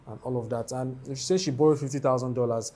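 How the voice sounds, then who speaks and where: A Nigerian man with a Nigerian accent giving measured, matter-of-fact sports opinions as a co-host. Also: mid-range voice, steady tone, dark tone. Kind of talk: casual studio sports chat.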